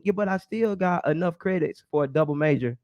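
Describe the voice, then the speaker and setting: A man's voice: a little high, a little fast, dry recording, lo-fi sound. A man, hosting a casual podcast chat and telling stories in a laid-back, slangy way. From Dallas, Texas. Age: 40s. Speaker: show host.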